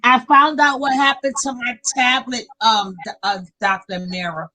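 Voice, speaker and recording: A woman hosting a casual live panel stream over a video call, animated and laughing often. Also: on the high side, normal speed, poor audio. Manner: animated, laughing, talks up a storm.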